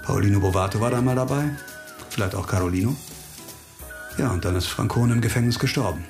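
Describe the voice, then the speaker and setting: An actor playing a dogged, sardonic police investigator in a radio crime drama, terse and assured. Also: male voice, lowish voice, fast talker, a little mellow, faint music behind, lightly acted. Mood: grim, determined.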